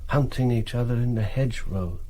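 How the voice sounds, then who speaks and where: A man acting a shrewd German colonel of military intelligence in a radio drama. His voice is slightly husky and mid-pitched, and he speaks with deliberate, eager authority. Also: warm tone, close to an everyday voice. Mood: confident, explaining.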